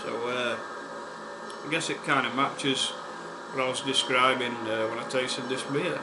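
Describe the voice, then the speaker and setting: A man with a West Yorkshire accent hosting a casual beer-tasting vlog, chatty and unhurried, with plenty of ums and uhs. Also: mid-range voice, fairly even intonation. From West Yorkshire, England. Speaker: beer reviewer, channel host. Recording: echoey room with air-conditioning hum.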